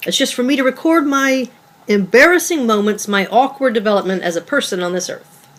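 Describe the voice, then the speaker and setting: A woman's voice: on the high side, a little fast, fairly bright tone, natural voice. A woman playing a guarded, cutting and evasive girlfriend in a table read of a stage play, dry, blunt and conversational. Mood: awkward, defensive.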